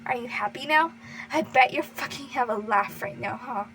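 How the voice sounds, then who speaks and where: A woman voicing a furious, grieving, hot-tempered young man who yells and curses at an unmoving friend, his voice breaking. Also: fairly high pitch, moderate pace, far from the mic, slight background noise, poor audio. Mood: bitter taunting. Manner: yelling, cursing, voice breaking. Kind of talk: dramatic fan fiction reading.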